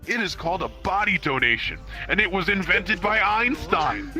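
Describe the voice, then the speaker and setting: A man's voice: high voice, expressive, moderate pace, gravelly, pitched above the performer's own voice. A man voicing an earnest know-it-all young cartoon fox in a story reading, explaining things solemnly like a lecture. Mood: awed, lecturing. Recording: music playing behind the voice.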